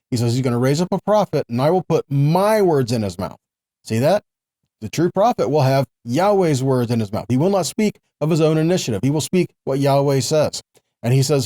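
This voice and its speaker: A man's voice: a little high, mellow, dry recording. A man giving a conversational Bible teaching, reading and explaining scripture in an explanatory way. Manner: explanatory, with short check-in questions to listeners. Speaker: religious teacher.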